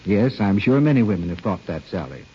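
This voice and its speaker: A man announcing on an old-time radio show, reading a scripted commercial in a polished, emphatic sales pitch. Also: animated, brisk, husky. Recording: muffled old radio broadcast.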